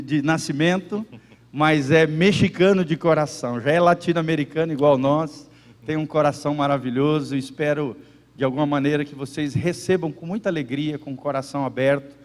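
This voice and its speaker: A fervent male pastor speaks in a slightly raised voice, repeating phrases as he introduces a guest and interprets live. The room lends a long church reverb to every line. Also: fairly high pitch. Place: church service.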